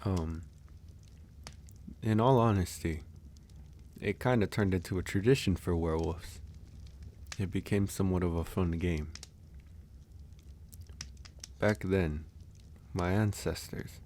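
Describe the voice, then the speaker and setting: A man playing a calm, candid, easygoing werewolf in an intimate ASMR audio roleplay, musing unhurriedly with sighs and pauses. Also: low-pitched, slight background noise. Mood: musing, storytelling.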